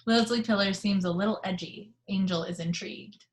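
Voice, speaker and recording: A woman reading stage directions aloud in a table read, steady and matter-of-fact. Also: mid-range voice, fairly quick. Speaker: reader of the action description. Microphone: video call microphone at home.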